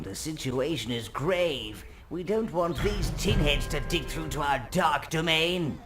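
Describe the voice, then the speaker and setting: An actor playing a wizened, fawning, gleefully evil old minion advisor in a video game, creaky and theatrical. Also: male voice, fairly high pitch, full character voice. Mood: grave. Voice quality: creaky, gravelly. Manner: obsequious, theatrical servant addressing his master. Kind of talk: video game cutscene acting. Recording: game music under the voice.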